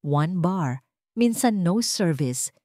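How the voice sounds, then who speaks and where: A woman narrating a romantic drama in a calm, measured storytelling manner. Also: on the low side, no echo, clean studio sound.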